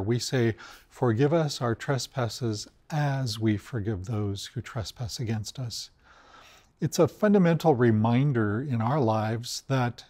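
An older man, a priest, gives a calm, measured weekly homily to camera inside a large church. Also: medium pitch. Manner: calm, measured and gently pastoral.

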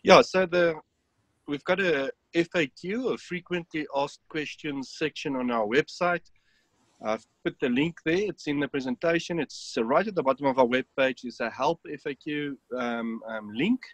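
A man's voice: a little high, normal speed, fairly bright tone, no echo. A man explaining step by step how to set up a phone app in an online webinar, with frequent ums. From South Africa. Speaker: app developer giving a demonstration. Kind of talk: webinar walkthrough. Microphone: online call.

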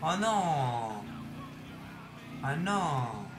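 A young man chatting casually over his gameplay on a headset mic, excitable and throwing in English exclamations. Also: on the high side, extremely slow. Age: young adult. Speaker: gaming YouTuber. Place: bedroom. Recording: roomy sound with game music behind.

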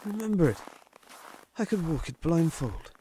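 A man's voice: a little high, big pitch swings, normal speed, noisy background. A man playing an old miser in an audio drama, fearful and wistful, stammering and exclaiming. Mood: excited, nostalgic.

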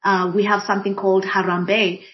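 A woman from Kenya, a diversity and inclusion practitioner speaking thoughtfully on a panel, pausing often with fillers. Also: mid-range voice, level tone. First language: Swahili. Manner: thoughtful, many fillers and restarts. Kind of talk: reflective panel conversation. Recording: dull lo-fi audio.

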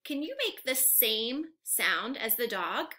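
A young woman reading aloud to small children like a teacher, asking simple questions in an animated, carefully enunciated way. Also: fairly high pitch, slow pace. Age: young adult. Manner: animated, exaggerated, enunciated for little learners. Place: living room at home, indoors.

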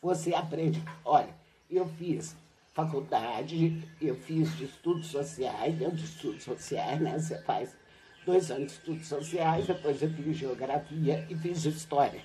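An older woman, a retired teacher, recounting her school days in a relaxed interview on a home patio. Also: low voice, a little slow, slight background noise, rough recording. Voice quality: lively, animated storytelling with laughs. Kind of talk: reminiscing interview. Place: covered outdoor patio at a home.

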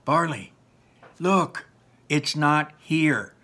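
A man voicing a jittery, high-strung teenage boy. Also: lively intonation, slow pace, a bit bright, breathy edge, heavily acted. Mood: anxious. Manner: whiny, panicky, high-strung. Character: anxious teenage boy, nervous and panicky.

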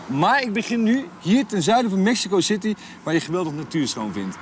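A man in his thirties hosting a travel show outdoors, talking to camera in a relaxed, enthusiastic and chatty way. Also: sits high, a little fast, background noise. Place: outdoors on a mountain road and in a tent. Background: background music.

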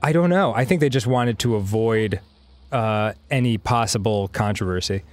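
A man's voice: fairly low pitch, moderate pace. A man in his thirties, first language Hebrew, chatting casually as a co-host over gameplay, rambling with many fillers. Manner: rambling, frequent fillers and laughs.

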